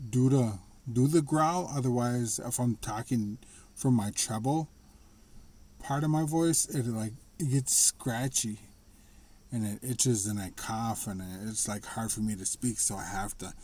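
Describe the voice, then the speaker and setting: A man talking casually to the camera in a slightly raspy voice, rambling and restarting his sentences. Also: medium pitch, mellow. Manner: rambling, halting, with frequent restarts and laughs. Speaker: vlogger. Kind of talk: casual personal monologue.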